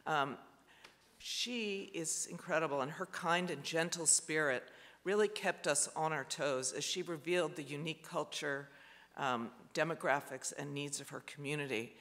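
Architect guy on a panel, kinda high bright voice w some gravel, lots of ums, big hall echo. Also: moderate pace. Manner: hesitant, many fillers and restarts. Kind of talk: panel talk presenting slides. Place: large auditorium. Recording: long hall reverb.

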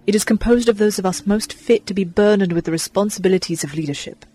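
An actress playing an earnest, dutiful young Jedi in video game dialogue, speaking in a measured, formal way. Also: female voice, mid-range voice, warm tone, slightly rough, close to an everyday voice. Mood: earnest, cautioning.

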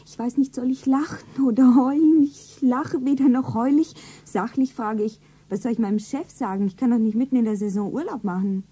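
A woman's voice: a little high, a little fast, hardly any pauses, dark tone, husky. An actress playing a naive, earnest young shop girl in love in a radio play. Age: young adult. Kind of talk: stylised radio play acting, narrating her own scene. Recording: 1970s radio play, music behind.

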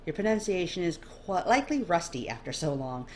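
A low-voiced woman reads fiction aloud in an expressive storytelling style. The recording has faint noise behind her. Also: a little fast. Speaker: amateur audiobook reader. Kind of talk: expressive scripted second-person story narration.

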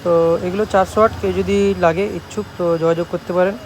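A man's voice: high-pitched, steady tone, racing, a little hiss or hum, poor audio. A man casually showing off handmade products for a vlog, repeating himself and often checking that viewers follow. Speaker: speaker maker presenting shop's work.

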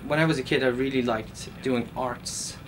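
A man's voice: mid-range voice, steady tone, normal speed, background noise. A man in his thirties, from Iran, speaking earnestly in an interview as a postdoctoral researcher, with many fillers and restarts, recorded in a lab office. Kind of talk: documentary interview.

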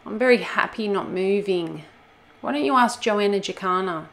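A woman's voice: medium pitch, normal speed, close to an everyday voice. A woman voicing a lazy, contented female crocodile in a storybook, unbothered and unwilling to move. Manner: unbothered, declining. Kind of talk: storybook character voice in a read-aloud.

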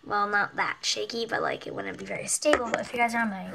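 A woman's voice: mid-range voice, fairly even intonation, quick pace, gravelly, noisy background. A teenage girl with a stuffy nose, chatting casually and rambling to her webcam in a small bedroom, sometimes dropping to a whisper. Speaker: vlogger. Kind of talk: casual vlog chat. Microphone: webcam on a desk lamp.

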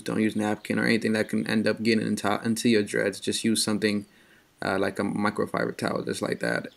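A young man with a low, gravelly voice talks through his hair care in an unhurried, rambling way, filling gaps with "uh". His pitch stays flat and level throughout. A faint hiss of noise sits behind him. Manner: relaxed, rambling, many "uh" pauses. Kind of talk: casual voice-over narration.

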